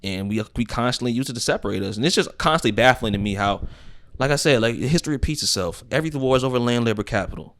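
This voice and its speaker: A young American man co-hosting a podcast, talking in a casual, animated way, swearing and using lots of filler words. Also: mid-range voice, fast. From United States. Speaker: podcast co-host. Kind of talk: casual chat.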